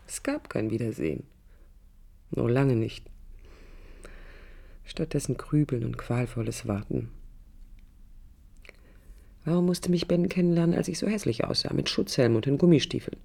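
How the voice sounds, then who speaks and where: A woman's voice: very deep voice, normal speed, vocal fry, long pauses, mellow, exaggerated voice. An actress playing a proud, brooding woman architect in a radio play, speaking in an introspective, literary and bitter way. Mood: brooding, wistful. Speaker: lead character and first-person narrator. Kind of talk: radio play acting, interior monologue and dialogue. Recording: studio radio drama with music behind.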